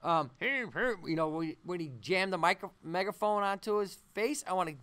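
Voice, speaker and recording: A man teaches software in an unscripted walkthrough. His voice is clear and slightly high, with a bright edge. He pauses often and thinks aloud as he goes. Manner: halting, thinking aloud as he works. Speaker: animation tutorial instructor. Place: voice-over on a screen-recording tutorial.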